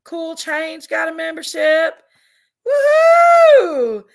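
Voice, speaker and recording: A woman with a Southern American accent, raspy and congested from allergies, chatting casually on a livestream and reading out viewers' names. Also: very high voice, big pitch swings, drawn-out words, raised voice. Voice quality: raspy, gravelly. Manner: drawling, reads out chat names. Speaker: livestream host.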